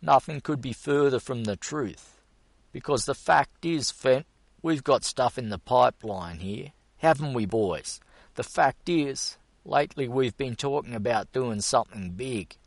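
A man's voice: mid-range voice, unhurried, vocal fry, dry recording, voice pushed up. A man voicing a blustering, boastful bearded man who pushes his point hard. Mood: earnest. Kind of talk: audiobook character voice.

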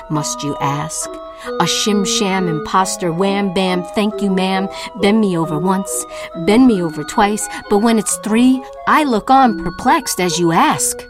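A woman's voice: medium pitch, close to an everyday voice. An actress playing a tormented, self-doubting woman artist in a theatrical, poetic spoken-word monologue. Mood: mocking, agitated. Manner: dramatic, poetic, deliberate.

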